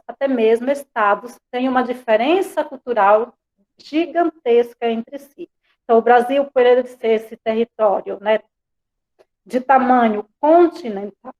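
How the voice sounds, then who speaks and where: A woman teacher with a Bahian accent, lecturing an online class in a conversational way, dropping frequent tag questions, over a video call. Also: fairly high pitch. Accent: Bahian Portuguese. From Bahia, Brazil.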